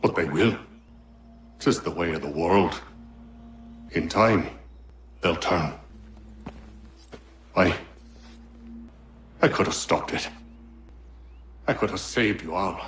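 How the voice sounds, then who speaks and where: A paternalistic colonial official turned villain in a video game. He orates in a low voice with bitter, old-fashioned phrasing and a self-righteous edge. A faint hum sits behind him. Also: slow pace, long pauses, a bit bright. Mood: bitter, dying. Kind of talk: video game cutscene acting.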